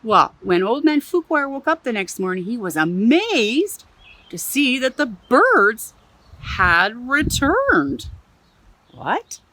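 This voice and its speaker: Lively woman reading a children's story. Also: on the high side, slow pace, a little hiss or hum. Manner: animated, with chatty asides. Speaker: museum storyteller. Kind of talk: reading a picture book aloud to children.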